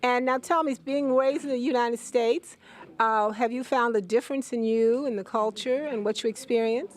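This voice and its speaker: A woman's voice: on the high side, normal speed. A woman interviewing guests at an event as the host, repeating their answers aloud for the audience.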